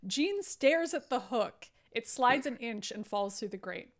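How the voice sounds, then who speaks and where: Female podcast host on stage directions: playful, wry, expressive, fairly high voice. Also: a little slow. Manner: playful, sardonic asides. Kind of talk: comic live script table read.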